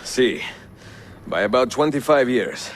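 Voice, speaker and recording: A male actor playing a seasoned, middle-aged Italian master assassin in a video game, speaking with an Italian accent in a measured, wry way. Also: mid-range voice, big pitch swings, a little hiss or hum.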